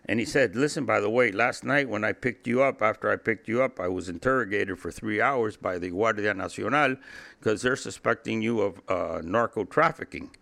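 A man's voice: fairly low pitch, moderate pace, gravelly, a bit bright. A middle-aged man, an interview guest, telling a first-person story casually and acting out the dialogue as he goes. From Cuba. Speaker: interview guest, former drug trafficker.

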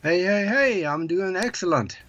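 A man's voice: high-pitched, expressive, normal speed, fairly bright tone, lo-fi sound. An older man from Norway, a guest on an interview podcast, telling his story unhurriedly with many hesitations. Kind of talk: casual interview.

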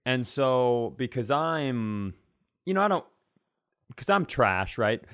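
An American man with a mid-pitched voice co-hosts a podcast. He spins casual, funny stories, wandering through them and putting himself down as he goes. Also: big pitch swings, slow pace, a bit bright. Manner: self-deprecating, rambling. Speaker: podcast co-host. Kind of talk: casual comedic storytelling.

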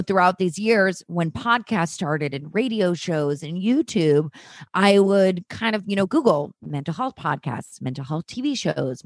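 A woman's voice: fairly low pitch, no echo, studio quality. A woman actress as a podcast guest, telling stories in casual chat, laughing often and swearing freely.

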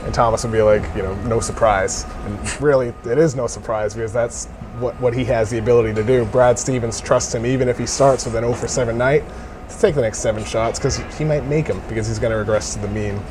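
A man's voice: mid-range voice, steady tone, quick pace, over music. A man giving casual basketball commentary as an analyst. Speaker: sports analyst.

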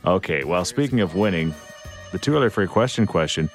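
A man's voice: deep voice, expressive, fairly quick, soft background music. A man hosting a morning radio show, joking with co-hosts and taking callers. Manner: jokey, laughs often, takes callers.

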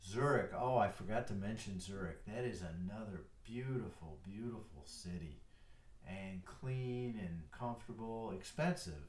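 Older man, low voice, roomy sound. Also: a little slow, distant mic, a little hiss or hum. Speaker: guest, retired airline pilot. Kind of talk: casual chat.

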